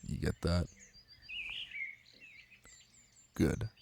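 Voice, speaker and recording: A man's voice: moderate pace, background noise, close to an everyday voice. A man playing a brooding, possessive young mafia heir and new husband in an intimate roleplay audio drama, blunt and commanding yet protective. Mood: brisk, commanding. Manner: blunt, commanding, swearing, low-key intimate delivery.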